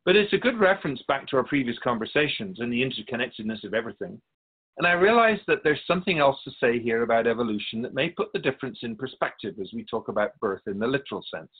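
A man plays a wise otherworldly spirit of a radio drama, patient and gently pedantic. The voice is husky and swoops widely as it explains things in measured phrases. The sound is narrow and phone-like. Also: medium pitch, sing-song. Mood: explanatory, measured. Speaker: spirit being interviewed. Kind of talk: scripted radio drama dialogue.

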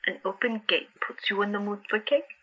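A gentle, questioning young woman in an audio drama, performed by an actress. Her voice is extremely husky and airy, yet bright and a little high. The recording is slightly muffled. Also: fairly even intonation, fairly quick. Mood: puzzled, curious. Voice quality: husky, breathy. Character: gentle, questioning young female artist patient.

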